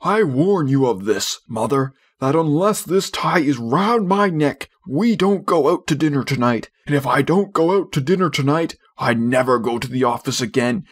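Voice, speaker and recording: Man acting melodramatic exasperated father, swooping pitch. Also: breathy edge. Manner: theatrical, emphatic, sarcastic. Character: melodramatic, pompous middle-aged father, exasperated and self-pitying.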